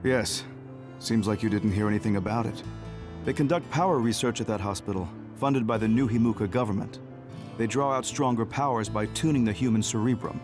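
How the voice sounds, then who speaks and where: A man playing a composed, guarded senior officer in a video game, talking in a measured, explanatory way. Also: on the low side, over music, lightly acted. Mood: calm, guarded. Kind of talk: English video game voice acting.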